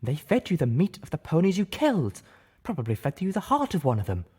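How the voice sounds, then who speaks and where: A man's voice: fairly high pitch, huge pitch swings, a little fast, a little mellow, husky, voice pushed up, exaggerated voice. A man voicing a fierce, righteous female unicorn in an audiobook, outraged and emphatic as if condemning.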